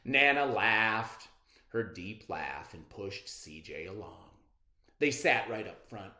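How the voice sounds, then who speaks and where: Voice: medium pitch, lively intonation, slow pace, pushed and bright. A storyteller reading a children's picture book aloud expressively, in a roomy, echoing recording.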